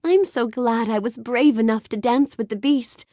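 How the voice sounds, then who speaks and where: A woman playing a sweet, curious, bookish young fairy-tale heroine in a video game, speaking with gentle, courteous wonder. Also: a little high, normal speed, no room sound, studio quality, natural voice. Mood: cheerful, excited. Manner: gentle, wonder-filled, courteous, expressive delivery. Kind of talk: animated game character dialogue.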